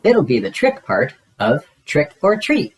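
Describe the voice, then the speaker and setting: A man's voice: fairly high pitch, huge pitch swings, unhurried, voice pushed up. A man reading a storybook, voicing a cheerful, eager young girl monster who likes to organize her friends. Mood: excited, scheming.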